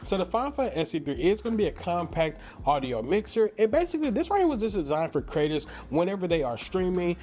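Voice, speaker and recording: St louis american guy doing a casual gear review, talks real fast, kinda higher voice, hyped and chatty. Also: big pitch swings, a little mellow, soft background music. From St. Louis, Missouri, United States. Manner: slangy, enthusiastic, conversational. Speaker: tech reviewer and content creator. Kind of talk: casual upbeat product review to viewers.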